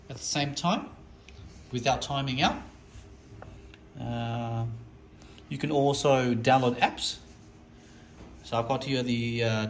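A man giving an unscripted product demo to viewers, halting and repeating himself as he goes. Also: medium pitch, a little mellow. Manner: halting, repetitive, addressing viewers as guys. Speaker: product demonstrator. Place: indoor room, desk demo. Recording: echoey room with background noise.

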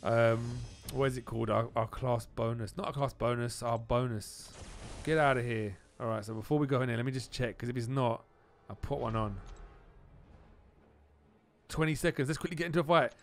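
A man giving excited video game commentary, repeating phrases and exclaiming in informal slang. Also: medium pitch, moderate pace, a little hiss or hum. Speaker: gaming channel host. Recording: music behind the voice.

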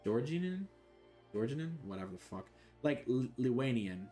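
A young man from Florida streaming a video game from his bedroom, joking, swearing and reacting loudly. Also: mid-range voice, very animated, a little slow, soft background music. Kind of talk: casual game-stream commentary. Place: small bedroom indoors. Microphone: desk mic, wearing a headset.

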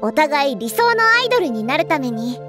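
A woman's voice: high voice, very animated, moderate pace, over music. A woman playing a sweet, easygoing teenage girl idol with a childlike manner in an anime drama. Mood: fond reminiscing. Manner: cutesy, childlike, casual. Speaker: voice actress in character. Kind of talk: cute anime audio drama dialogue.

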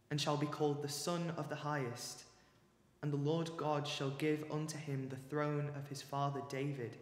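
A young man giving a solemn, measured and formal reading of scripture. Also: fairly high pitch. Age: young adult. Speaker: student reader. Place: small church or chapel, indoors. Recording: echoey church with long reverb.

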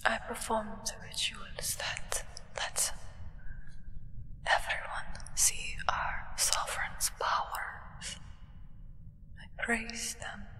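A young woman playing a solemn, devoted vampire acolyte in a hushed, reverent ASMR roleplay whisper. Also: fairly high pitch, level tone, big-room sound, exaggerated voice. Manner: hushed, slow, reverent, deliberate. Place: indoors, small draped set.

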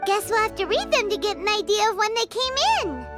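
A woman voicing a tiny, cheerful childlike fairy companion in a video game, squeaky, chirpy and naive. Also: expressive, brisk. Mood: puzzled. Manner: chirpy, naive, refers to self in third person. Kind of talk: video game character dialogue. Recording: music behind the voice. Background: game music.

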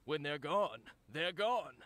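An actor, a man, playing a wary, gossipy fishmonger with a soft heart in a video game. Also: on the high side, expressive, slow, a crowd in the background. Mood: wary, frank.

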